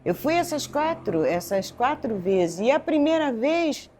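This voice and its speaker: Woman, seventies, from northeastern Brazil, interview guest, storytelling, lively intonation. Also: high voice, unhurried, a little hiss or hum. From Recife, Pernambuco, Brazil. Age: 70s. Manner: meandering, self-correcting storyteller who acts out dialogue. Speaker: French teacher and school director, guest. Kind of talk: relaxed life-story interview.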